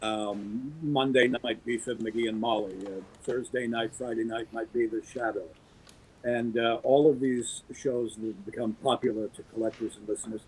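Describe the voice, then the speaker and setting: A man's voice: mid-range voice, fairly even intonation, normal speed, breathy edge, poor audio. A man in his fifties from the US East Coast, a guest actor fondly reminiscing about old radio drama in casual chat. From US East Coast, Baltimore. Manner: reminiscing, thoughtful.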